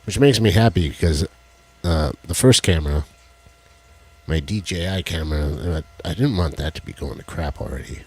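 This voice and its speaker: Deep husky-voiced man rambling on a stream. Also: moderate pace. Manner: rambling, jokey, fiddles with tech while talking. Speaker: co-host of a live stream show. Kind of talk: casual live-stream chat. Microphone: headset with headphones.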